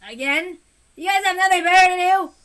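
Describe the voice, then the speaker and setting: A woman voicing a bullying, abusive middle-aged man who snarls and shouts. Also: on the high side, steady tone, a little fast, lightly acted. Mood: angry shouting. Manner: belligerent, shouting, sneering.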